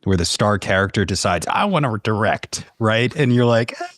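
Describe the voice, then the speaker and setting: A male podcast guest chats casually in a somewhat low-pitched voice. The sound is dry and close, with hardly any room around it. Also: very animated, moderate pace, fairly bright tone, no room sound. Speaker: podcast guest, horror fiction writer. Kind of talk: casual chat.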